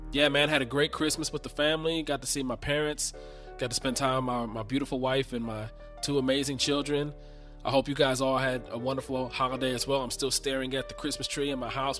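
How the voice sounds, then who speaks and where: A man's voice: medium pitch, a bit bright. A man hosting a podcast in a casual, slangy monologue, a little nasal and congested from a cold. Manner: laid-back, slangy, chatty. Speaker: podcast host. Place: home recording booth.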